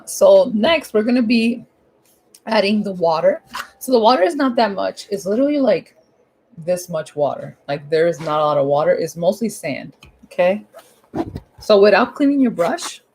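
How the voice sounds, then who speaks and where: A woman with a hoarse, husky voice giving a casual, chatty step-by-step painting tutorial at home, repeating her instructions in informal language. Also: medium pitch, expressive, unhurried, long pauses, a little mellow, a little hiss or hum.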